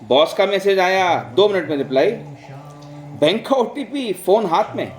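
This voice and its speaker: A man's voice: sits high, big pitch swings, measured pace. A man narrating in a conversational, reflective way, speaking directly to listeners and mixing in English words. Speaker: vlog host and storyteller. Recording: roomy, echoing sound.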